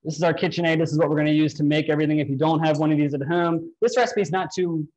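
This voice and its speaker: A man's voice: a little high, steady tone, rapid-fire, darkish tone. A man in his thirties leading a live cooking demonstration in a large kitchen, explaining each step to viewers from a distant camera. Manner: instructive, walks viewers through steps. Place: large home-style kitchen, indoors. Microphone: far camera. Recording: dull lo-fi livestream audio.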